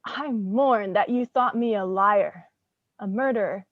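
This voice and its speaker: A woman's voice: medium pitch, measured pace, dry recording, narrow, tinny audio, lightly acted. A woman playing a wrongly condemned young woman in a staged gothic drama, wounded, defiant and pleading. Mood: hurt.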